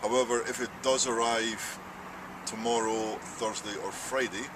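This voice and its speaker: A man with a Scottish accent co-hosting a casual unboxing chat outdoors at an archery range, talking in a relaxed, wry way. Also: mid-range voice, unhurried, noisy background. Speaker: archery shop co-host.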